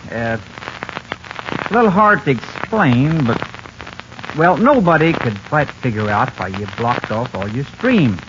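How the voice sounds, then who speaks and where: An American man playing a hesitant, earnest ranch hand in a 1950s Western radio drama, halting and deferential. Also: medium pitch, big pitch swings. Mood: hesitant, uneasy. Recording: dull, clearly noisy 1950s radio recording.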